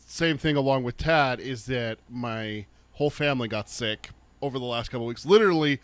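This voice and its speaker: A man co-hosting a casual podcast, listing links and social accounts in a brisk, matter-of-fact way, just getting over a cold. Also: mid-range voice. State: recovering from a cold, coughing. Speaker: podcast co-host.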